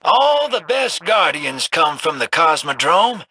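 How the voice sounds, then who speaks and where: Raspy sly scavenger rogue, high lively voice, bright and edgy, slow drawl. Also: male voice, noisy background. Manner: drawling, folksy slang. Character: grizzled drawling rogue scavenger, middle-aged man, sly. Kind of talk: video game voice lines.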